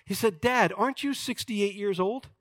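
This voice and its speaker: A man in his sixties preaching a sermon as pastor, emphatic and jokey, swinging between loud points and quiet asides. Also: high-pitched, normal speed, no echo, clean studio sound. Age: 60s.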